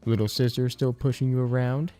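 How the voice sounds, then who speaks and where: Give the part to a cocky young student played by a man, full of mocking banter. The pitch sits lifted and the delivery is teasing and jocular, like a best friend in an audiobook story. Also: little pitch movement, a little fast, mellow, faint music behind, close to an everyday voice.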